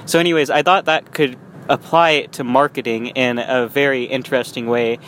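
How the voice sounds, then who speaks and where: A man's voice: mid-range voice, fairly even intonation, moderate pace, a bit bright. Young man, casual vlog from behind the wheel, rambling, light traffic hum. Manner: rambling with frequent restarts and small laughs. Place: in a car while driving. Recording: faint road noise.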